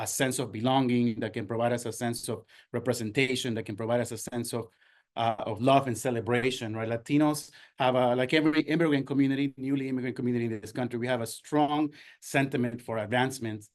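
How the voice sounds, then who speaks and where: A man in his forties from Ecuador leads a workshop presentation. His voice sits at a middle pitch, neither high nor low. He speaks earnestly, with fillers and restarts as he works through his points. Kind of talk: presentation talk.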